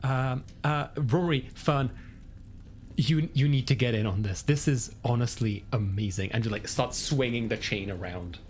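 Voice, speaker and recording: An adult improvising casual tabletop game talk in a halting, effusive way. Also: mid-range voice, a little mellow, a little hiss or hum. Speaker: tabletop roleplaying participant.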